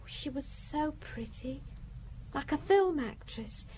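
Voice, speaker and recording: An actress playing a plain-spoken young housemaid in a radio drama, earnest, gossipy and a little defiant. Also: female voice, high-pitched, lively intonation, takes it slowly, darkish tone, slight background noise, low-quality recording. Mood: wistful.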